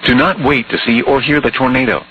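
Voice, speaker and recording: A flat, even male voice reads out an automated emergency weather alert. It has a synthetic, computer-like evenness. The broadcast sounds dull and lo-fi, with a faint hum under it. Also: medium pitch, brisk.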